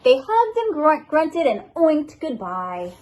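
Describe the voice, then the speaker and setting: A woman's voice: sits high, big pitch swings, slow, a little mellow. A woman reading a children's storybook aloud expressively, as a school assistant principal addressing her pupils.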